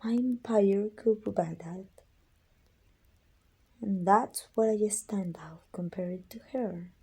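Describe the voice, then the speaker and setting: A woman playing a dominant, haughty evil queen with a soft spot, musing imperiously in an ASMR roleplay. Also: medium pitch, slow pace, full character voice. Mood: proud, musing. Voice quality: husky, breathy with whispered edges. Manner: imperious, musing, teasing condescension. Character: dominant, haughty evil queen softening toward her little helper.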